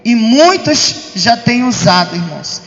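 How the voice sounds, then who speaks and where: A man's voice: very high voice, sing-song, a little slow, fairly bright tone, a little hiss or hum. A man with a Brazilian accent giving an emphatic religious testimony to a congregation, repeatedly calling out to them as brothers. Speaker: church member giving testimony. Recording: dull, muffled sound in reverberant hall.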